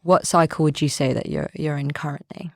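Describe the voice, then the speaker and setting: A woman hosting an interview podcast, reading a formal guest introduction and asking reflective questions in a measured way. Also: low voice, fairly even intonation, no room sound, clean studio sound. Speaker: podcast host. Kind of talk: interview podcast, scripted intro then conversation.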